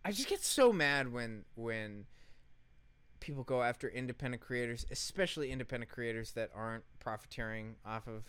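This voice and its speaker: A man's voice: mid-range voice, expressive, normal speed, fairly bright tone, smooth, dry recording. A man co-hosting a podcast with casual comedic banter, swearing freely and stressing his words like a rant. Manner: profane, emphatic, ranting delivery. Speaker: podcast co-host.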